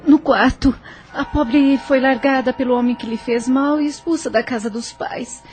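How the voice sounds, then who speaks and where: A woman's voice: high-pitched, fairly even intonation, moderate pace, a little mellow, lightly acted. A woman playing a grim, pitying brothel madam in an audio drama. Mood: bitter pity.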